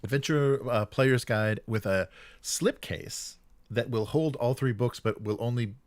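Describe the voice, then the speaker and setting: A man co-hosting a tabletop gaming show, explaining news in a casual, halting way. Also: mid-range voice, no room sound.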